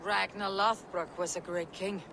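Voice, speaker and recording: A steadfast Viking warrior, blunt and commanding, speaking plainly at a middle pitch. Loud background noise runs under the game audio. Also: female voice, steady tone, unhurried, creaky. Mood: solemn. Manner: firm, plain-spoken, commanding. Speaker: game protagonist. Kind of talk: video game cutscene acting.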